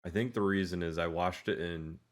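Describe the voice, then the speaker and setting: A man's voice: low voice, fairly even intonation, fairly quick. A man co-hosting a podcast, explaining his opinion casually and hesitantly, qualifying as he goes. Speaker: podcast co-host. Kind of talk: casual movie discussion.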